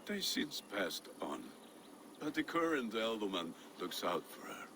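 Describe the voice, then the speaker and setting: An actor playing a boisterous, playful, lovestruck Viking blacksmith in a video game, with a very animated delivery. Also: male voice, on the low side, a little slow. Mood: cheerful, eager. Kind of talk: dramatic video game acting. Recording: noisy outdoor ambience behind voice.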